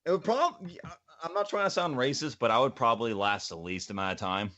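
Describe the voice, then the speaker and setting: Lively-pitched man joking on a muffled podcast. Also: fairly quick. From North America. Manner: jokey, crude mock-indignant banter. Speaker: podcast host. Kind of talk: casual joking group podcast chat.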